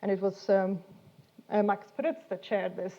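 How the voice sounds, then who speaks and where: A woman's voice: medium pitch, moderate pace, warm tone. Woman lecturing, slightly dull sound. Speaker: speaker giving a talk. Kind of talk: informal illustrated science lecture.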